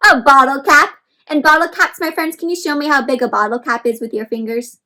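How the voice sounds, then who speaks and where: A woman's voice: high voice, fairly even intonation, fairly quick, pushed and bright. A woman hosting an interactive read-aloud for children, speaking expressively and instructively and often putting questions to her young listeners.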